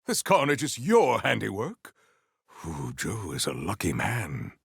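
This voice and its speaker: A man voicing a stoic, honourable warrior in a video game, speaking in formal, stately phrases with a gravelly voice. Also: on the low side, wildly swooping pitch, no echo, clean studio sound, full character voice. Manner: formal, stately, measured diction.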